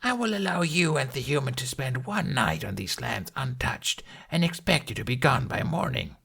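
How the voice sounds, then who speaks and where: A man voicing a proud, blunt female dragon for an audiobook, with a raspy, strained voice and formal, imperious speech. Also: mid-range voice, lively intonation, normal speed, sharp tone, pitched above the performer's own voice, exaggerated voice. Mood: imperious, frank.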